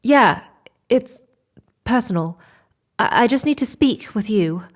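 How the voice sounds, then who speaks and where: A woman's voice: on the high side, lively intonation, takes it slowly. A woman playing a secretive, scheming space traveler in a sci-fi audio drama. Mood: guarded, urgent.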